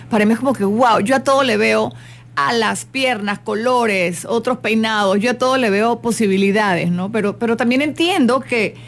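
Chatty woman hosting a radio show. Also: on the high side. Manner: chatty and expressive, with quick asides. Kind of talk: casual motivational radio talk.

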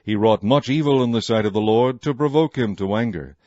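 A man's voice: medium pitch, a little fast, darkish tone, dry recording. Man reading scripture, slightly dull dry sound. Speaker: Bible reader.

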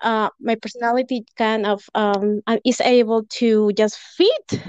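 A woman's voice: fairly high pitch, steady tone, a little slow, darkish tone, clear, resonant tone, highs cut off. A Peruvian woman with a Spanish accent, a podcast guest who talks effusively and laughs often between phrases. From Peru. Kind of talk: casual podcast conversation.

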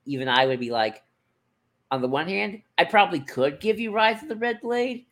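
A podcast co-host in casual discussion, speaking in a hesitant, halting way as if thinking aloud. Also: medium pitch, expressive, a bit bright. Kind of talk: casual discussion of franchise books and comics.

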